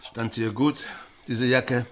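An actor playing a stern, brooding married country pastor in a radio play, curt, evasive and reproving. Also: male voice, mid-range voice, fairly even intonation, a little slow, a bit bright. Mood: defensive. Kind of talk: naturalistic German radio play acting. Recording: music behind the voice.

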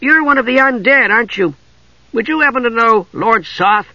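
Storybook Kender adventurer, small, male, cheerful and fearless: high, lively, eager rambling, performed by a man, slightly dull recording. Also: normal speed, no echo, full character voice. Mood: chatty, polite.

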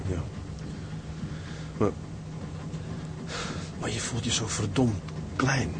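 Low, brooding young ship's mate. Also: male voice, very slow, very noisy. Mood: fearful, downcast. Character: homesick young ship's mate, gloomy and frightened. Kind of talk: Dutch radio drama acting.

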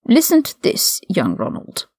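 Haughty older male wizard acted by a woman, condescending, measured, swooping intonation, creaky edge, storybook villain. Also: mid-range voice, sing-song, no echo, clean studio sound. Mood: quietly menacing. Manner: condescending, measured, drawling formal speech. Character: older aristocratic male wizard prisoner, haughty and coldly mocking.

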